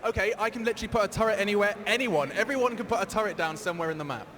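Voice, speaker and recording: A man's voice: high voice, racing. A man hosting a live event stage show, bantering and laughing. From Netherlands. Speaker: event host. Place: large event hall. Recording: long hall reverb, loud background noise.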